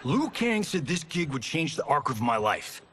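A man's voice: on the high side, sing-song, moderate pace, a bit bright, slight background noise. An actor playing a cocky, wisecracking male movie star in a video game cutscene. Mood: brash. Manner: wisecracking, boastful. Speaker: action film star.

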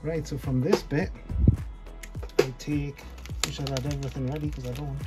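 A man's voice: mid-range voice, level tone, moderate pace. Chatty man tying flies over music. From North East England. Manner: chatty, self-deprecating, thinks aloud while working. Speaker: fly tying tutor. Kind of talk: casual off-the-cuff tutorial. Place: indoor tying desk.

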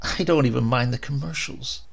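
A man's voice: medium pitch, moderate pace, vocal fry. A man voicing a lonely, worn-down housewife in a story, wistful and dazed. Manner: dull, forlorn, strange.

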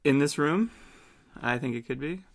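Creaky, measured healer played by a man. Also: fairly high pitch. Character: measured, practical healer adventurer.

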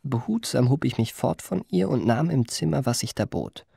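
A man's voice: medium pitch, animated, moderate pace, vocal fry, warm tone, husky, dry recording, studio quality, full character voice. An actor playing a suave young liftboy and charming con man, narrating his memoirs in a polished, ornate, self-satisfied way in a radio play. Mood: sly. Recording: music behind the voice.

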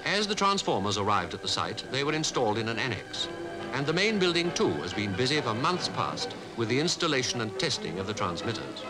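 A man with a British Received Pronunciation accent reading scripted 1950s newsreel narration in a formal, measured way. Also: medium pitch, sing-song, over loud music, poor audio. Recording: 1950s newsreel archive soundtrack.

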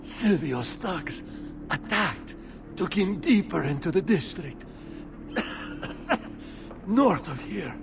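A man's voice: high voice, sing-song, extremely slow, pauses often, full character voice. An actor playing a wounded male mercenary, straining to get words out in a video game. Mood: pained. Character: wounded mercenary gasping out a report. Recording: distant voice, clear background noise.

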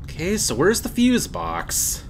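A woman's voice: lowish voice, huge pitch swings, a little slow, slight background noise. A woman giving casual let's play commentary, thinking aloud in a playful way. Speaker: let's player.

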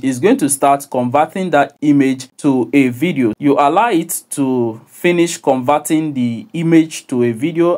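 A man, hosting a software tutorial in an instructive, deliberate manner. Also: mid-range voice. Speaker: tutorial host.

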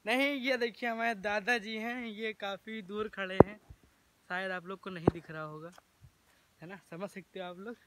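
Excited young man vlogging outdoors. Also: high-pitched, moderate pace, very noisy. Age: young adult. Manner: excited, joking, talks straight to camera. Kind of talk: casual vlog narration. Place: outdoors at a farm house garden. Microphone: phone selfie camera at arm's length.

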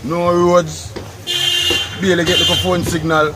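A man's voice: high voice, little pitch movement, unhurried. Easygoing Jamaican man, noisy yard. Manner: easygoing, calls the interviewer brother. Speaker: interviewee, local business owner. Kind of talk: casual interview. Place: outdoors under a tarp in a rural yard. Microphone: phone camera a few feet away. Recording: outdoor phone audio with loud background noise.

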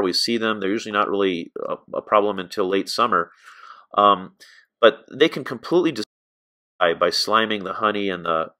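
American male instructor, middle-aged, slightly low pitch, crisp bright tone, informal explanatory delivery. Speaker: beekeeping instructor. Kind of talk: informal narrated slide lesson.

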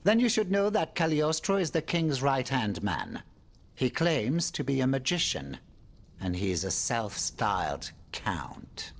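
A man's voice: mid-range voice, wildly swooping pitch, a little slow, gravelly, full character voice. An actor playing an urbane, worried, wry French nobleman in a video game, with a courtly, theatrical manner that moves between exclamation and confiding murmur. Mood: troubled, confiding. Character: urbane male French aristocrat, worried, wry, world-weary. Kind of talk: dramatic video game dialogue.

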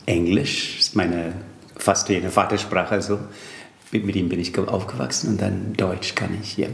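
A man's voice: low voice, fairly even intonation, normal speed, vocal fry, roomy sound. A man with a Tamil accent explaining yoga texts in an interview, thoughtful and hesitant with fillers. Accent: Tamil-accented German. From Tamil Nadu, India. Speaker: yoga teacher and Sanskrit scholar. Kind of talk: interview answers.

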